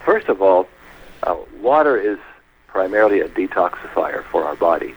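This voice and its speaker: A man guest on a radio talk show, explaining health matters in a measured, anecdotal way, step by step. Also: sits low, big pitch swings, slightly rough, low-quality recording.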